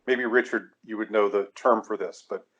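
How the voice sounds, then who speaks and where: A man's voice: fairly low pitch, fairly even intonation, moderate pace, fairly bright tone, lo-fi sound. A man hosting a podcast discussion, talking casually and hesitantly with many restarts. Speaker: podcast host.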